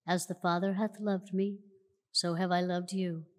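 A woman reading scripture aloud at a church lectern in a measured, solemn way, recorded in a large church auditorium. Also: on the low side, little pitch movement. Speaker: church reader. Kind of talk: slow formal scripture reading. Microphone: lectern mic from a far camera. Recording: long church hall reverb.

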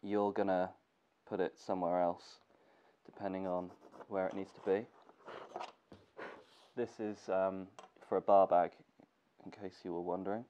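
A man's voice: sits low, unhurried. A young man with a cold, sounding congested, casually talking through a sewing tutorial in his workshop. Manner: relaxed, thinking aloud with fillers. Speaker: gear maker teaching sewing. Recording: dull lo-fi camera audio with faint noise.

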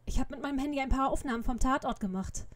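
Woman as teen girl detective, highish voice, earnest, caring, parody radio drama. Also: fast talker, close to an everyday voice. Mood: conversational.

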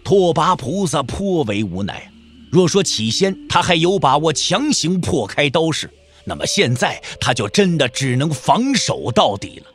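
A man's voice: on the high side, big pitch swings, measured pace, a bit bright, breathy edge. A man narrating a martial arts novel as a scripted audio drama, with an emphatic, suspenseful storytelling delivery. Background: background music.

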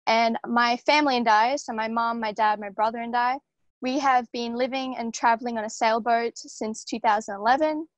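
A teenage girl speaks casually on a video call through an earphone mic. Her voice is fairly high, and the sound is dry, with little room around it. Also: steady tone, moderate pace, dry recording. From Australia. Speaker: panelist, young activist. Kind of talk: casual self-introduction. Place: inside a boat. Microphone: wired earphones on video call.

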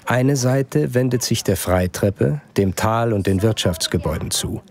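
A man giving calm, measured scripted narration for a documentary voice-over. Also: medium pitch. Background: music under the narration.

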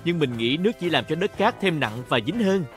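A man's voice: fairly high pitch, animated, brisk, fairly bright tone. A man narrating a children's cartoon in speech, talking to the characters like a storyteller for young children. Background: music.

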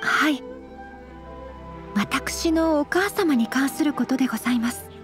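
A refined young noblewoman speaks in a high voice with careful honorific politeness. She is earnest and modest, measuring each phrase gently, as in a Japanese anime game. Soft music plays beneath her. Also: unhurried. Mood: earnest, hesitant. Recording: music under the voice.

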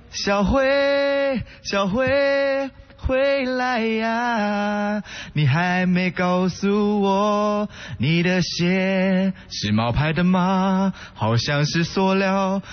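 Casting: song-prone, theatrical young student for radio drama, high voice, slow delivery. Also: male voice. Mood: melodramatic. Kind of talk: idol radio drama acting. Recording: dull lo-fi audio, faint music.

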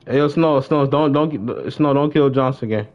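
A man's voice: medium pitch, moderate pace. Young American male game streamer, mid-range voice, casual slangy chat, repetitive short phrases, slightly muffled lo-fi sound. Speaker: live streamer playing an online roleplay shooter game. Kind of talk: casual live gameplay chat. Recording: slightly dull, lo-fi stream audio.

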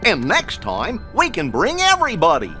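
A lanky, goofy cartoon dog from a video game. He is folksy and bumbling, swooping way up high. Music plays clearly underneath. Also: huge pitch swings, normal speed. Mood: eager.

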